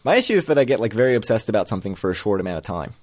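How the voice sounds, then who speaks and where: American guy cohosting a podcast, lowish voice, rambles and laughs at himself. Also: big pitch swings, racing, darkish tone. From United States. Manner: rambling, self-deprecating, laughs often. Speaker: podcast co-host. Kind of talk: casual chat.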